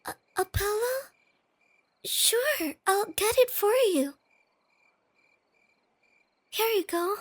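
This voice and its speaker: A bashful, nerdy young girlfriend in an ASMR roleplay. Her soft, girlish voice sits extremely high and moves slowly, hesitating and stammering as she gets flustered. It is recorded close and very dry, with night insects chirping behind. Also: animated, no echo, exaggerated voice. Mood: nervous, sweet.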